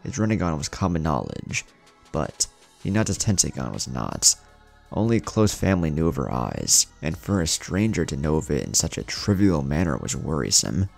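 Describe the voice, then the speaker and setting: A man's voice: rumbling low, very animated, normal speed, vocal fry, faint music behind. A man reading scripted story narration as the narrator.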